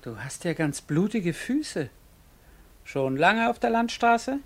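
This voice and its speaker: An actor playing a cocky young streetwise pickpocket with a husky, teasing voice in a 1950s German radio drama. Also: male voice, sits high, sing-song, slow, exaggerated voice. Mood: curious, probing. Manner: jaunty, teasing, chuckling.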